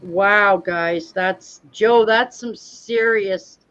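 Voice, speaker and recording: A woman's voice: medium pitch, drawn-out words, fairly bright tone. Chatty woman, dull stream audio. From Canada. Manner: chatty, laughs often, repeats herself. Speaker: watch party host. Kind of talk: casual live-stream chat.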